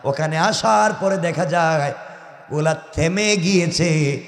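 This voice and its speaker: A man delivers an impassioned sermon through a heavily echoing sound system. His voice sits high, swelling from lower phrases into loud exhortations as he tells religious stories and puts rhetorical questions to his listeners. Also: takes it slowly. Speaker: Islamic preacher. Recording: echoey hall PA, long reverb.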